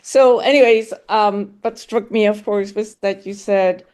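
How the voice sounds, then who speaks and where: A woman from the Netherlands speaking up on a video call, explaining her point in an animated, lightly teasing way. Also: medium pitch, a little slow. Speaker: audience member asking a question. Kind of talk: casual remark on a video call.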